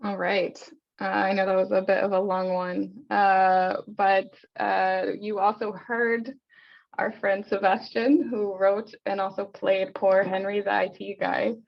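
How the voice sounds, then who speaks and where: Slow, creaky-voiced woman hosting. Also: medium pitch, level tone. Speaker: event host. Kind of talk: casual event introduction. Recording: dull, lo-fi audio.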